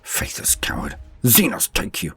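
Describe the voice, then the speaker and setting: A man's voice: deep voice, wildly swooping pitch, unhurried, sharp tone, slightly rough, no room sound, in a whisper, soft background music, exaggerated voice. A man voicing an anguished, devout acolyte in a war story, intense and indignant. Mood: bitter contempt.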